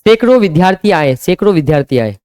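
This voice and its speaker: Male teacher, high clear voice, live-stream grammar class, repeats every question and answer. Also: expressive, fast talker, darkish tone. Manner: drills students with repeated call-and-answer questions. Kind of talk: live online grammar lesson.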